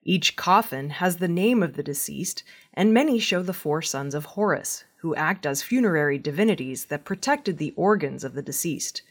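A woman reads scripted news in a fairly low voice. Her pitch moves up and down a lot, giving the narration an animated shape. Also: moderate pace, hardly any pauses. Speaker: news reader.

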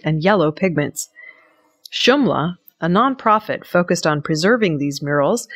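A woman reading scripted news narration as the presenter of an audio news programme. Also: on the low side. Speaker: news reader.

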